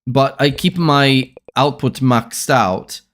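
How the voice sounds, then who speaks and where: Quiet muttering man thinking aloud. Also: mid-range voice. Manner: muttering, halting, trails off quietly. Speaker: podcast host editing his episode. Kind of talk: casual thinking aloud while editing.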